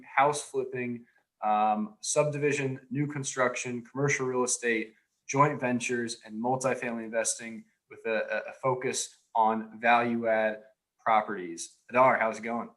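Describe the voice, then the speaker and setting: A man co-hosting a podcast, introducing the guest and asking questions in a relaxed conversational way. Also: medium pitch, moderate pace, poor audio. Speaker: podcast co-host.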